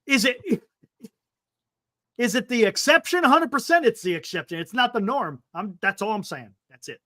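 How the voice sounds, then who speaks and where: Host type, man in his 50s, bright and lively delivery, emphatic, keeps saying "man", dry close sound. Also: extremely high pitch, normal speed, no echo. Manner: emphatic, repeats himself, calls people "man". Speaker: sports talk show host. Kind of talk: casual live-stream sports chat.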